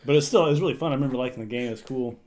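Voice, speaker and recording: Fast-talking man in casual podcast chat. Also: mid-range voice, a little mellow. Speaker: podcast co-host.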